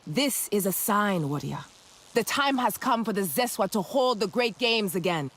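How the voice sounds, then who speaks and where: A woman's voice: medium pitch, animated, normal speed, fairly bright tone. An actress playing a solemn female clan leader in a video game, speaking ceremonially. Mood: reverent, inviting.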